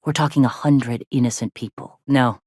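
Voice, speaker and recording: An actress playing a weary, plain-spoken rebel lieutenant turned leader in a video game, with a blunt, folksy, colloquial manner. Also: female voice, rumbling low, fairly even intonation, normal speed, a little mellow, breathy edge, dry recording, clean studio sound. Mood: serious, explanatory. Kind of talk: scripted game dialogue.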